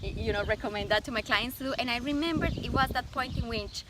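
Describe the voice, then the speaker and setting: A woman in her forties from Colombia, speaking English with a Colombian Spanish accent, whose first language is Spanish. She is a guest talking warmly about her past, with frequent laughs and thoughtful pauses. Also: a little high, background noise. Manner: warm, laughs often, thoughtful pauses with fillers. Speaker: guest, image consultant. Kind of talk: casual interview.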